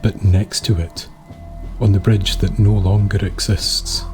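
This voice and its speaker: A Scottish man reading a scripted dark tale as a storyteller, with solemn, deliberate phrasing. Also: fairly low pitch, flat delivery, soft background music.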